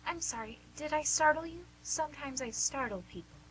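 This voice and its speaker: A woman's voice: high voice, fairly quick, lightly acted, poor audio. A woman playing a perky, flustered and apologetic visitor in an amateur radio play, hesitant and scatterbrained. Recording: hum and faint background noise.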